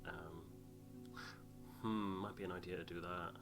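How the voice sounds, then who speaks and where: Casting: male game playthrough host, lower-pitched, casual, thinks aloud, music playing behind him. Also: little pitch movement, unhurried. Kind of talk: casual gameplay commentary, thinking aloud and reading out game text. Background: game music with guitar.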